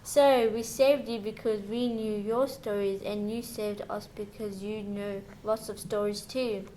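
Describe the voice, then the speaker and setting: A woman's voice: medium pitch, fairly even intonation, unhurried, dark tone, slight background noise, lightly acted, poor audio. A woman playing a curious, grateful fairy-tale sister in a children's radio play.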